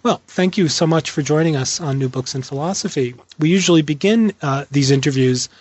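Husky male podcast host, slightly dull audio. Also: fairly high pitch, brisk, darkish tone. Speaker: podcast host interviewing an author. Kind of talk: scripted introduction, then conversational interview.

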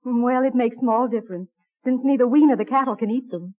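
An actress playing a gentle, practical young Quaker farm wife of colonial times, using old-fashioned plain speech in a radio drama. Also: female voice, fairly high pitch, fairly quick, natural voice. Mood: practical, doubtful. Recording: tinny band-limited old radio recording.

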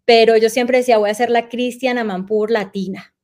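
A Venezuelan woman in her forties, a journalist being interviewed, recalling her path in a reflective storytelling way and pausing to search for words. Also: a little high, steady tone, darkish tone. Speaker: guest journalist. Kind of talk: relaxed conversational interview.

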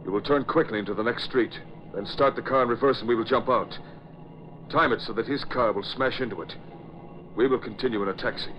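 An actor playing a cold Nazi spy chief in a 1940s radio drama, with a German accent and a clipped, formal, commanding manner. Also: male voice, medium pitch, brisk, a little hiss or hum, close to an everyday voice. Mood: urgent command. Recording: dull old radio recording.

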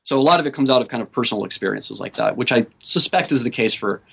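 A man who grew up in South America, speaking as a guest academic on a podcast, thoughtful and measured, with frequent fillers. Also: medium pitch, lively intonation, creaky, fairly bright tone, slightly rough, lo-fi sound. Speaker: guest author. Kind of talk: academic interview.

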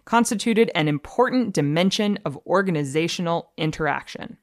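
A woman guest on a podcast, reading academic prose and answering in a precise, measured, deadpan manner. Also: fairly low pitch, no room sound, studio quality. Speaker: podcast guest, fluid dynamicist. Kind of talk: dramatic reading of academic text.

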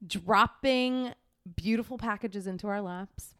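A woman co-hosting a casual podcast chat, bubbly and quick to laugh, with casual swearing. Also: medium pitch, fairly bright tone, clean tone. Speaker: podcast co-host. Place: in-person studio or room in Los Angeles.

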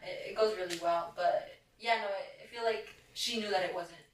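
A woman's voice: mid-range voice, brisk, reverberant, distant mic, poor audio. A young woman whose first language is Spanish, guesting on a casual podcast and chatting in a rambling, self-doubting way with many fillers. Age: young adult.

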